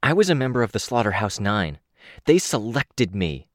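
A man's voice: lowish voice, big pitch swings, moderate pace, dry recording, clean studio sound, voice pushed up. A man reading an audiobook, voicing a cold, menacing young woman who used to kill people, with a hard, clipped voice.

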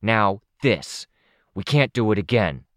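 A man's voice: lowish voice, lively intonation, takes it slowly, fairly bright tone, no room sound, clean studio sound, pitched above the performer's own voice. A man voicing a blunt, tough woman who talks in short, clipped sentences. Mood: grim, blunt. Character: blunt tough woman, terse, plain-spoken.

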